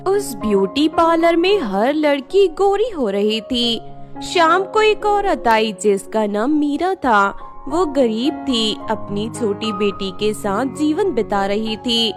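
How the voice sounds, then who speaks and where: A woman with a very high voice narrates a children's fairy tale. She takes her time through each line, and faint music plays behind her.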